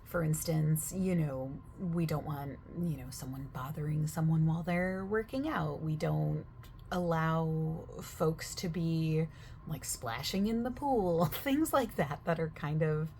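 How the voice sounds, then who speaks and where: A young woman in a soft-spoken ASMR roleplay as a gym staff member, chatty and over-explaining with lots of fillers. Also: low voice, slow pace, a little hiss or hum.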